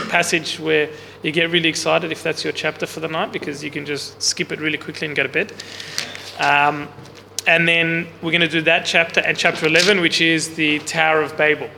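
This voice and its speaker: A man with a loud, bright, slightly high voice teaches a Bible class in a big room, with no microphone. He explains casually and keeps putting questions to the group. The recording carries a long hall reverb and a faint hiss underneath. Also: creaky. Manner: loud voice, speaks without a mic. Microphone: no mic on the speaker, recorder in the room.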